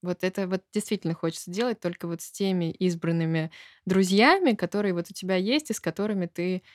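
A woman's voice: fairly low pitch, fast talker, no room sound, clean studio sound. A woman in her twenties chatting casually as a guest on a podcast. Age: 20s. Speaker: podcast guest, small shop owner. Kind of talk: casual interview chat.

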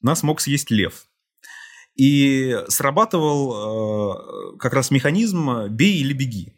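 A man speaks as a podcast guest, with a husky, slightly breathy voice. He tells a long story, explains it step by step, and acts out the people he quotes. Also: medium pitch, expressive, slow pace. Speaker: podcast guest, creative director. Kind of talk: relaxed interview storytelling.

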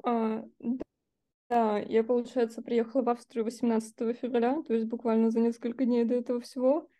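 A woman's voice: a little high, little pitch movement, fairly quick, mellow. A young woman from Russia, an exchange student, answering interview questions in a hesitant, thoughtful way. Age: young adult. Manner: hesitant, thoughtful, many fillers.

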